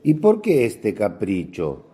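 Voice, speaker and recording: A man's voice: fairly low pitch, huge pitch swings, takes it slowly, dark tone, slightly rough, full character voice. A man playing a suspicious, probing Pharisee in a religious radio drama, questioning with insinuation.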